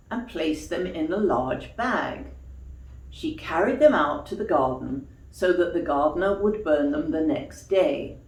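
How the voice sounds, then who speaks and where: Animated woman storyteller in an echoey room. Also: lowish voice. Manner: explains words to young listeners in asides. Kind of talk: expressive children's story reading. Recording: echoey room, distant mic.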